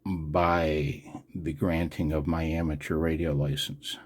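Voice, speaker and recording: An older man from the United States in a casual, unscripted vlog as a radio operator, blunt and wry with a gravelly, husky voice. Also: extremely low pitch, level tone, slow. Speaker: amateur radio operator and video host. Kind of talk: casual, unscripted video commentary.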